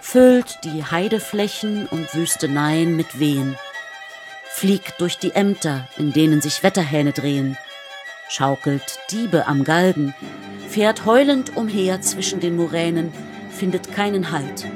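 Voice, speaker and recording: A woman narrating a historical radio play in a measured, composed, literary manner. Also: low voice, lively intonation, over music.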